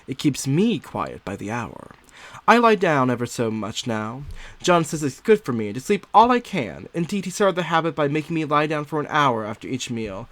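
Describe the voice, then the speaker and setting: A man's voice: mid-range voice, fairly bright tone. A man voicing an anxious, secretive young wife in dramatic, theatrical first-person literary narration.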